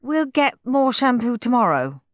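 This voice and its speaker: A woman voicing a firm mother who gently scolds her children in a storybook reading. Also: fairly high pitch, very animated, measured pace, dry recording, voice pushed up. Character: firm, tidy-minded mother.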